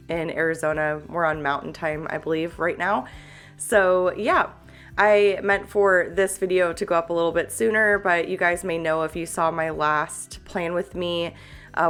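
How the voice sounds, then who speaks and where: Female vlogger, lowish voice, stuffed up from a cold; loose, chatty, filler-heavy delivery over light background music. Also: a bit bright. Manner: rambling, friendly, frequent fillers and small laughs. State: congested, stuffy nose. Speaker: planner YouTuber. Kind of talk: casual chatty vlog talk.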